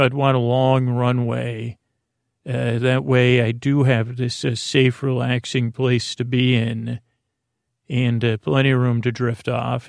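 A man with a very creaky, gravelly voice rambles through a bedtime podcast monologue. His pitch stays quite flat as he hesitates, doubles back and meanders. The sound is close and dry. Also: no echo. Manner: hesitant, meandering, halting, trailing off. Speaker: podcast host.

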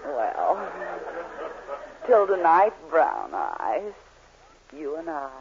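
A woman's voice: sits low, wildly swooping pitch, extremely slow, full character voice. Sultry female swindler, seductive and hard-boiled, muffled vintage radio sound with faint noise. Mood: sultry, flirtatious. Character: sultry scheming female con artist, flirtatious and hard-boiled. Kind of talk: 1940s radio comedy acting. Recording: muffled, faintly noisy 1940s radio recording.

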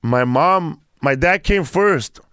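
A man's voice: fairly high pitch, animated, slow, a bit bright, clean tone, no room sound, studio quality. A man in his fifties from Mexico, a comedian chatting as a guest and telling a loose, rambling story with many restarts. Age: 50s. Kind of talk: casual chat.

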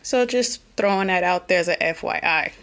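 A woman with an Oklahoma twang hosting her own solo podcast, talking earnestly and reflectively, pausing and restarting as she thinks aloud. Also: on the low side, fairly bright tone. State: a little nervous. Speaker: podcast host.

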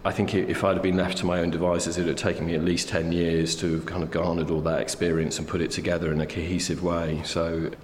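A man's voice: deep voice, level tone, quick pace, hardly any pauses. A man in his fifties from the north of England, an artist talking thoughtfully about his past in an interview, with many hesitations. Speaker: artist guest. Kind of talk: reflective interview conversation. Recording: roomy hall echo, faint ambience.

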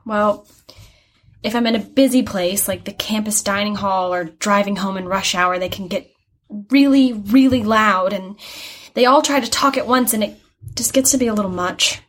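A woman's voice: medium pitch, moderate pace, a bit bright, lightly acted. A young woman playing an earnest, chatty art student in an audio drama, rambling and hesitant as she explains herself. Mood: chatty, candid. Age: young adult. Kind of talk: naturalistic audio drama acting.

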